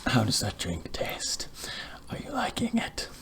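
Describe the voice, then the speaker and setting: A man playing a polite, fussy barber in a whispered ASMR roleplay, speaking softly and formally close to the microphone. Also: on the low side, huge pitch swings, creaky, heavily acted. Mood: soft, courteous. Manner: soft, hushed, formal, measured. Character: polite, fussy, chatty male barber tending a client. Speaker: ASMR performer. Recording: loud clicking and hiss, scissor sounds.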